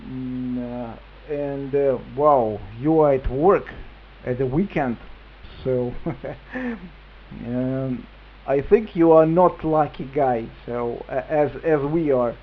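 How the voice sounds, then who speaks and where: Slow-talking Russian-accented man on muffled radio link. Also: mid-range voice. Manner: halting, searching for words. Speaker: amateur radio operator. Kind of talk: casual ham radio chat. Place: at home at a computer. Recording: muffled, slightly noisy radio link recording.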